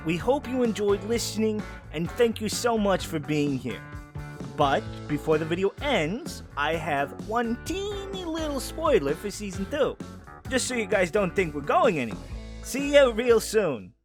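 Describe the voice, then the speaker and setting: A man's voice: sits high, very animated, unhurried. A man playing a chatty, upbeat podcast guy who addresses his listeners in an audio drama outro. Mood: upbeat, friendly. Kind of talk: outro announcement in character. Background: guitar music.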